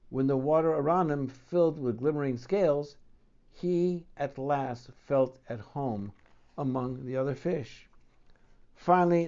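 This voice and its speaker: A man's voice: on the high side, warm tone, lo-fi sound. A man reading a picture book aloud for children as a storyteller and host.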